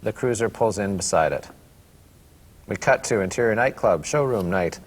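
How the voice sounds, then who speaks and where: A man's voice: lowish voice, animated, normal speed. A man reads scripted stage directions as narrator at a table read. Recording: reverberant room, faint background noise.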